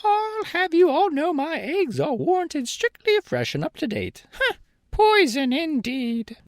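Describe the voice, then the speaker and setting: A man voicing a proud, indignant female hen with a shrill, squawky voice, clucking and defiant. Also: wildly swooping pitch, unhurried, dry recording, exaggerated voice.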